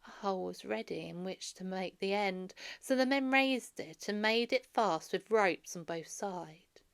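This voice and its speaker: Female storybook reader, creaky voice, medium pitch, read-aloud narration. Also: lively intonation, a little slow.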